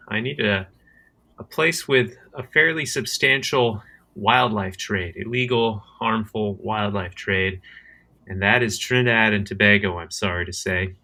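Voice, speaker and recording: Bright-voiced American man, halting speech. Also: lowish voice. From United States. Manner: hesitant, often repeats words while finding his phrasing. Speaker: guest, criminology researcher and conservationist. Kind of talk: casual interview answers.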